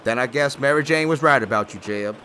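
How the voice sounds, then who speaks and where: A man's voice: mid-range voice, brisk, sharp tone, slight background noise, close to an everyday voice. A man playing an indignant, worried backwoods client with a Southern US accent, plaintive and skeptical, in a comedy horror audio drama. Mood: scornful.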